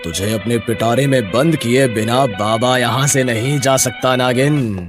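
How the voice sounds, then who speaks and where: A man's voice: mid-range voice, unhurried, over music, lightly acted. Man playing a commanding snake-catcher holy man. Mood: menacing. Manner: commanding, theatrical.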